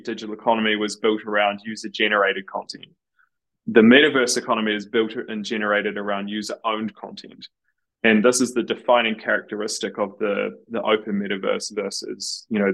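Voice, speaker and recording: A man's voice: fairly low pitch, level tone, a little fast, creaky, a bit bright, rough recording. A man as a podcast guest, confident and explanatory, building on the host's points. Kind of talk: casual podcast interview.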